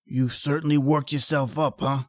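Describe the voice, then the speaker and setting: An actor playing a two-faced killer who poses as a friend, theatrical and taunting, in an old-time radio thriller. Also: male voice, mid-range voice, little pitch movement, moderate pace, no room sound, clean studio sound, natural voice. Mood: coaxing.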